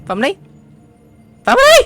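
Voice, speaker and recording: A woman doing casual, excitable let's-play commentary, exclaiming and gushing as she talks to the characters and to herself. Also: very high-pitched, normal speed. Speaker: gameplay streamer. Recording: game music behind the voice.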